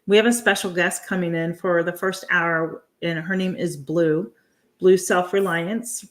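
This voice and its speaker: A woman's voice: lowish voice, steady tone, a little slow. An American woman appearing as a guest on a casual live chat, friendly and chatty. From United States. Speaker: guest homesteader.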